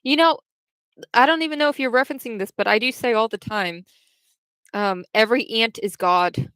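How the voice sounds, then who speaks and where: A woman's voice: a little high, normal speed, a bit bright, no room sound, poor audio. A young woman casually chatting on a livestream, answering viewers' questions in a rambling way full of restarts and fillers. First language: English.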